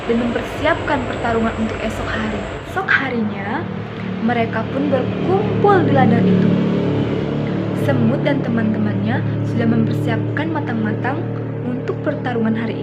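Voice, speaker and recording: A young woman with an Indonesian accent, a student telling a folk tale aloud in a plain, steady reading. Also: fairly high pitch. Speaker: student storyteller.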